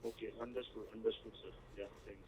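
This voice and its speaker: A man asking questions as an analyst on an earnings call, halting and polite, over a phone line. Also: lowish voice, flat delivery, a little mellow, husky. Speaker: financial analyst caller. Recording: tinny, noisy, distant phone line.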